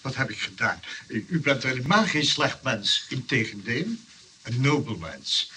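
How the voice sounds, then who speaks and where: An actor playing a mild, eccentric inventor, earnest and coaxing, in an old radio play. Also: male voice, mid-range voice, big pitch swings, a little slow. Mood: conciliatory. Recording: faintly noisy old radio recording.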